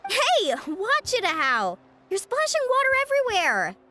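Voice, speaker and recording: A woman playing an upbeat, caring young woman in a video game, expressive and friendly. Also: very high voice, moderate pace, heavy background noise, full character voice. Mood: excited, bossy. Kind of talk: English video game voice acting. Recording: faint music behind the voice.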